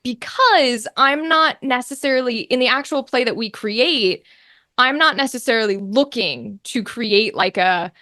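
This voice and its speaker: Female interview guest, slightly high voice, casual and expressive, performer's flair, very dry sound. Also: measured pace, no room sound. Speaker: guest, actor and game master.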